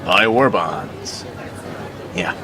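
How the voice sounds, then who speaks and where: A man's voice: on the low side, very animated, drawn-out words, vocal fry, crowd noise, noisy background, exaggerated voice. A man playing an earnest, grumbling, self-deprecating young soldier in an audio drama. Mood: frustrated, sarcastic.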